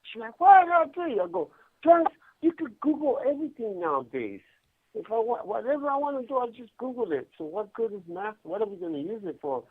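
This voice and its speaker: A man's voice: high-pitched, brisk, slightly rough, dry recording. A man telling stories casually over the phone, acting out exchanges as he goes. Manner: animated, acting out exchanges as he talks. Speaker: regular phone-in guest calling from prison. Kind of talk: casual phone chat and storytelling. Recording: phone call, dry sound.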